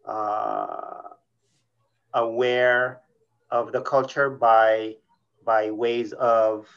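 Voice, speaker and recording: Slow-talking man from Eritrea, dull audio. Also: mid-range voice, vocal fry. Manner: relaxed, thoughtful, drawn-out words. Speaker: guest. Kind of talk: casual interview.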